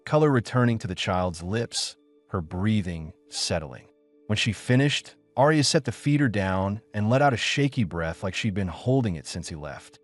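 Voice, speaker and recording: Deep male audiobook narrator, expressive pitch. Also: normal speed, soft background music. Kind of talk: scripted story narration.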